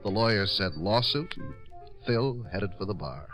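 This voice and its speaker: Male worried American writer-director, insistent and halting, low register, old-time radio drama. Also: animated, a bit bright, soft background music. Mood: agitated, defensive. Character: earnest, pleading male writer-director, loyally defending his star. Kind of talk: 1950s radio drama acting.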